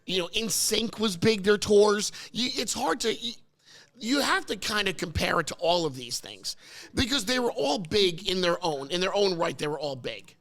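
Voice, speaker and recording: A hoarse man with a high, gravelly, bright voice hosts a casual talk show. He is losing his voice. He argues excitably, and his pitch keeps jumping upward. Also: normal speed. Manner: excitable, pitch jumps up when arguing. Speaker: podcast host. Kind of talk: casual banter. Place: car service center.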